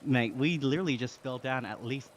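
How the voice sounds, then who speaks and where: Casual male streamer, Australian accent, mid-range voice, chatty and slangy, talking to chat over clear background noise. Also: mid-range voice, fairly quick, lo-fi sound. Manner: chatty, slangy, reading chat aloud, thanking viewers. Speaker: live streamer.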